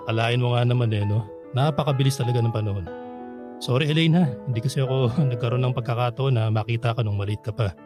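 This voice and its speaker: A man's voice: mid-range voice, fast, over music, natural voice. A Filipino man playing a nostalgic, bashful middle-aged bachelor back home from abroad in a radio drama. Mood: flustered. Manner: easygoing, chuckling, sheepish.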